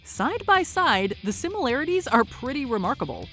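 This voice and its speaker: A woman's voice: sits high, very animated, moderate pace, fairly bright tone, soft background music. A woman reading scripted, upbeat narration as the host of a countdown list.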